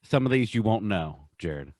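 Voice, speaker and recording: Low-voiced man in casual enthusiastic podcast chat. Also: lively intonation, moderate pace, dark tone, no echo, studio quality. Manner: enthusiastic, often repeats himself for emphasis. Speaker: podcast co-host. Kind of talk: casual pop-culture countdown chat.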